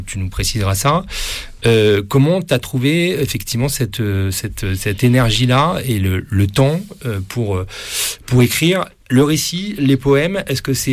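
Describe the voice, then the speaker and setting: A man's voice: medium pitch, no echo. A man hosting a radio interview, talking in long rambling sentences full of restarts and fillers. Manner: rambling, many restarts and fillers, warmly admiring. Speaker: radio host.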